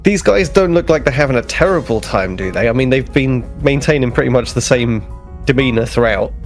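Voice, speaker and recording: Man, tabletop player, middle-range voice, casual improvised narration, soft music in background. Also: mid-range voice, expressive, fairly quick.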